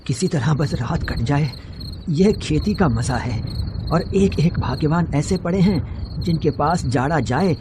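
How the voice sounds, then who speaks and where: Storybook peasant farmer, played by a man: weary, wry rustic grumbler, noisy recording with crickets and animals. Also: on the high side. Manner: plain rustic grumbling, talks fondly to his dog. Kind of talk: dramatised audio storybook.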